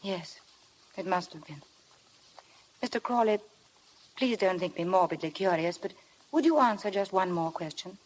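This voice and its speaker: An actress playing a meek, nervous young English wife, refined and hesitant, in 1950s radio drama style with a British accent. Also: female voice, lowish voice, lively intonation, moderate pace, pauses often, a little hiss or hum, natural voice, poor audio. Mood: timid, curious.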